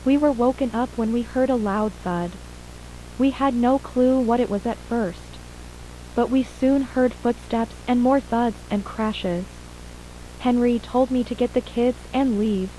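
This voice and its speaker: Casting: woman to play shaken mother and witness in horror audio drama, high, very soft-toned voice, hesitant recounting. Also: unhurried, close to an everyday voice, poor audio. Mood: subdued, uneasy. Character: shaken mother and wife, surviving witness of an attack. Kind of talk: acted interview in an analog horror audio drama. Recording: faint hum and hiss.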